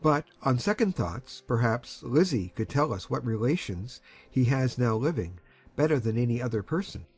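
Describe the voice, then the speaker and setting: An earnest gentleman played by a male actor speaks with a husky edge in a period audiobook drama. His delivery is formal and measured, as if setting out a serious letter, with music playing underneath. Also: mid-range voice, very animated.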